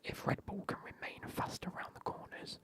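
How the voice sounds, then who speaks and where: A man, whispering as he banters with his live stream chat and swears now and then. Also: brisk.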